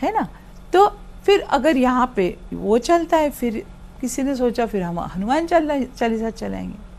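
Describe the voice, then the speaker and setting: High-voiced woman giving a conversational opinion. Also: very animated, measured pace, gravelly, a little mellow. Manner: conversational, switches into English words. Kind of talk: spontaneous interview opinion.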